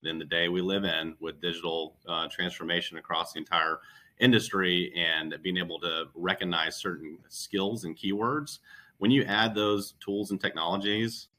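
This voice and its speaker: Male recruiter, very deep pitch with a bright edge, emphatic conversational panel delivery. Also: steady tone, normal speed. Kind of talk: unscripted advisory panel talk.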